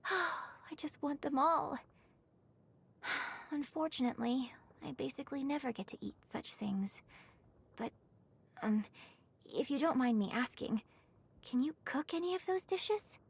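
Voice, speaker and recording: A woman voicing a weary, wistful young woman in a video game, with breathy creak and a drawn-out, sighing manner. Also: high voice, expressive, full character voice. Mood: longing, wistful. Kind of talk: scripted game character monologue.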